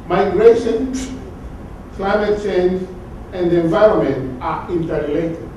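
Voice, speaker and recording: A man's voice: high-pitched, warm tone, distant mic, a little hiss or hum. Man lecturing in an echoey hall. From Tanzania. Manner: measured, repeats words while searching for phrasing. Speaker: conference speaker. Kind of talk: academic lecture. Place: large conference hall.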